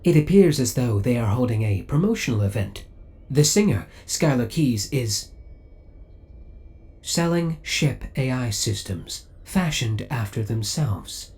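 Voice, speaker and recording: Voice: mid-range voice, animated, a little slow, warm tone, close to an everyday voice. Actor voicing a lilting, possessive spaceship AI. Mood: clinical concern, then controlling, politely firm. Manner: formal, precise, clinical, politely menacing. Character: possessive spaceship AI, devoted, coldly jealous, clinical. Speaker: voice actor playing a ship's AI. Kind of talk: scripted ASMR audio roleplay acting.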